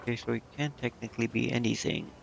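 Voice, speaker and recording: A man's voice: medium pitch, animated, quick pace. A man giving casual gameplay commentary, thinking aloud and questioning himself as he goes. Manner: musing, questioning himself as he reads menus. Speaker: video game streamer. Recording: muffled lo-fi mic with clear background noise.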